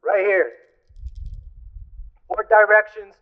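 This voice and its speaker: A young man giving an earnest, deliberate public speech as a youth representative. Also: high voice. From Cross Lake, Manitoba, Canada. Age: young adult. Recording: muffled, phone-like event recording.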